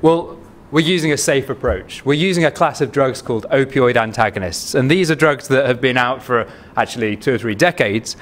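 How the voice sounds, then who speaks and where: A man with a Manchester accent, a company chief giving a chatty investor pitch full of ums and restarts. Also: a little fast, fairly bright tone. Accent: Manchester English. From England. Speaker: company CEO presenting to investors. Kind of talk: conference pitch presentation. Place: conference room.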